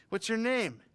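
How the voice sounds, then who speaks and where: A man's voice: high voice, a bit bright, clear, resonant tone, full character voice. A man playing an eager, flustered, bookish biographer in a western video game, with an earnest, wordy manner. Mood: curious. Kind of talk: video game dramatic acting.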